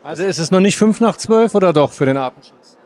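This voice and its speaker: A middle-aged man with a high voice speaks quickly as an interviewer. He is chatty and effusive, talking into a handheld mic in a cinema foyer. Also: animated, a little mellow. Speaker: interviewer and host. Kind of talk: red-carpet film interview. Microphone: handheld interview microphone.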